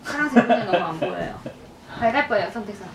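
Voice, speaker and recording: A young woman co-hosting a game in a toy shop, playful and quick, a bit confused by the rules. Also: medium pitch, lively intonation. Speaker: co-host playing the game. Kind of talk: casual chat. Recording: echoey and distant with crowd noise.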